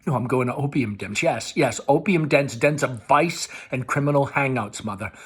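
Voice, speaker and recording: A bitter young man in a stage play, acted out by a man reading aloud, rants at his mother with heavy sarcasm. His mid-range voice has a slightly husky, rough edge. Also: medium pitch, moderate pace, fairly bright tone. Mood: scathing sarcasm. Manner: bitter, ranting, mocking.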